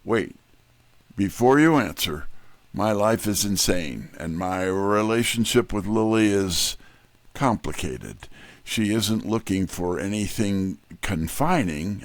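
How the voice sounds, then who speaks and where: A male actor plays a wry, candid detective's sidekick telling the story in first person. His voice is low with a creaky edge and expressive pitch movement. The recording is dry. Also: no echo.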